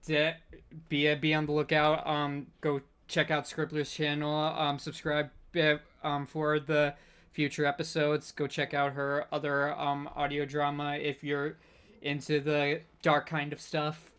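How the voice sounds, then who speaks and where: A man with a fairly high, smooth voice hosts an informal online panel. His intonation stays quite level, and he feels his way through sentences in a halting, hesitant manner. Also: completely flat delivery, fairly bright tone. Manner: halting, hesitant, scattered delivery. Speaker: panel host.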